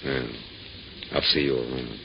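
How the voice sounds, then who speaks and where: An actor playing a hard-boiled, wry private detective in terse 1940s radio noir style. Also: male voice, very deep voice, level tone, unhurried, noisy background. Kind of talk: 1940s radio acting, noir first-person narration. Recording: dull 1940s radio recording.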